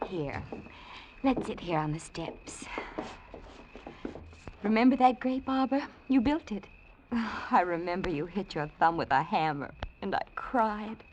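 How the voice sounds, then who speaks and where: Actress as a slow, clinging, melodramatic sweetheart. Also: female voice, medium pitch, expressive, darkish tone, highs cut off, slight background noise, close to an everyday voice. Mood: tender, coaxing. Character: tender, clinging sweetheart, secretly a seductive Martian impostor. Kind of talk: 1950s radio drama acting. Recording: old radio recording, music behind. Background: music.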